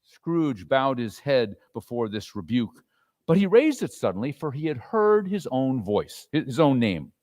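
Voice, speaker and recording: A man narrating a classic story theatrically, expressive and with dramatic pauses. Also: medium pitch, no echo, clean studio sound.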